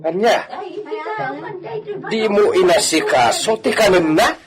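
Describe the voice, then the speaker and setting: A man's voice: high-pitched, slow pace, a crowd in the background. A man with an Ilocano accent playing a gossipy, sympathetic hacienda servant in a radio drama. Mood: concerned.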